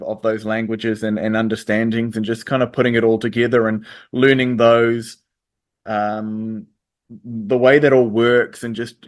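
Hesitant New man, low voice. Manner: hesitant, many ums and restarts. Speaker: interview guest. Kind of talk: radio interview.

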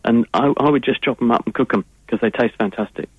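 A man's voice: medium pitch, quick pace, slightly rough, dry recording. English man explaining science over a phone line. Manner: fluent, long explanatory answers. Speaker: science expert guest. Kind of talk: explaining on a radio call-in. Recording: tinny phone-line sound.

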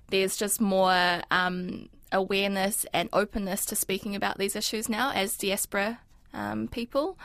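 Kiwi woman in her 20s, grew up speaking mandarin, gravelly fry, lots of ums, chatting in an interview. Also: medium pitch, fairly bright tone, dry recording. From New Zealand. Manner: thoughtful, frequent ums and likes. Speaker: interview guest. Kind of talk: casual reflective interview talk.

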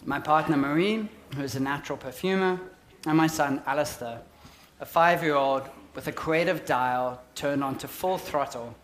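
A man in his forties with a South African accent, giving a prepared, measured public talk on design. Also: mid-range voice, fairly bright tone.

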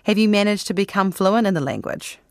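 A woman interviewing a guest, asking measured, prepared questions. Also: mid-range voice, expressive, dry recording. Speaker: radio interviewer.

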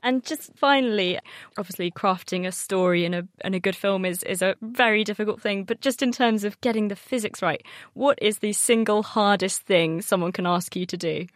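A woman interviewing a researcher, asking questions in a halting way with repeated words. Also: mid-range voice.

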